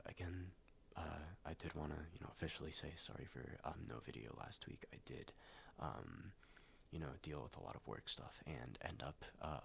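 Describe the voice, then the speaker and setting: Very deep, gravelly soft-spoken man. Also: slight background noise. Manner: soft, slow, many ums and likes, drifting into whispers. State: stuffed up, slightly nasal after a cold. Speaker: ASMR YouTuber. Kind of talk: soft-spoken ASMR reading.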